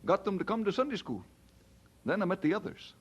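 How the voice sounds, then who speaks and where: Young male minister: high husky voice, humble, earnest, expressive swings, radio drama. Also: fairly quick, full character voice. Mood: earnest, compassionate.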